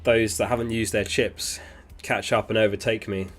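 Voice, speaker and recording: Man, fairly low pitch, sniffly, clears throat often, relaxed chatty stream talk. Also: moderate pace. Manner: relaxed, chatty, thinks aloud with fillers. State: sniffly, getting over an illness. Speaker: fantasy football livestream host. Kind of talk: casual livestream chat reading viewer messages.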